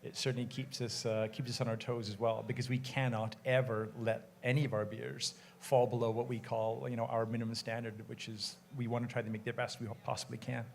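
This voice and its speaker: A man's voice: medium pitch, little pitch movement, fairly quick, gravelly, hardly any pauses, a little hiss or hum. A man who co-owns a brewery, answering passionately and emphatically on a panel in front of an audience, speaking into a microphone. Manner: emphatic, passionate, quotes conversations. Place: event room with an audience of brewers.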